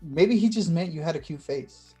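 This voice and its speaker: Man acting awkward, soft-toned, nervous young guy. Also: a little high, lively intonation, soft background music, natural voice. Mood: concerned. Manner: halting, fumbling, self-conscious. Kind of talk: staged play reading.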